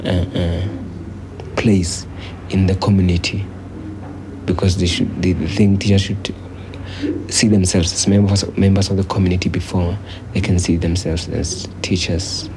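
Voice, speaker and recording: A South African man gives a measured interview, feeling for his words as he explains. His voice is very deep and nearly monotone. Clear background noise marks it as an old 1980s newsreel recording. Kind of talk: documentary interview. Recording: noisy 1980s newsreel location sound.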